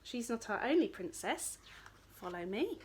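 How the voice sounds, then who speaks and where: A woman's voice: fairly high pitch, very animated, unhurried, background noise. A woman voicing a proud, helpful royal cat, self-important and encouraging.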